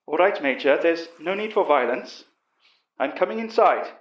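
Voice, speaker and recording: An echoey, slightly muffled recording carries a man acting a composed British professor. His highish voice is measured and literary, narrating a horror tale with calm authority. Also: close to an everyday voice. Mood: calm questioning. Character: calm, commanding British professor recounting a monster investigation. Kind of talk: dramatic first-person horror narration. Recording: dull, roomy recording.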